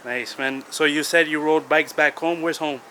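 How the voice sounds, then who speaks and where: A man's voice: on the high side, fairly even intonation, normal speed, a crowd in the background. A man hosting a casual interview, asking friendly questions with short reactions. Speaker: interviewer, channel host.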